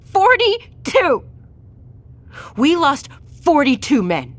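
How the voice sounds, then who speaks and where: A woman's voice: high voice, wildly swooping pitch, slow pace, exaggerated voice. A woman playing a defiant, self-justifying doctor accused of treason in an audio drama, argumentative and articulate. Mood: defiant, heated.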